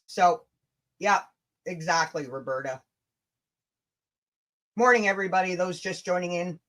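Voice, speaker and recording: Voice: medium pitch, a little slow, sharp tone. A livestream host chatting casually and reading messages aloud, blunt and sweary, stumbling and rereading as they go.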